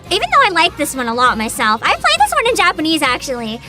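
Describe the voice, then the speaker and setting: A woman hosting a casual livestream chat, giggly, excitable and expressive, with music behind her. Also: high voice, fast talker. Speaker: livestream host, VTuber.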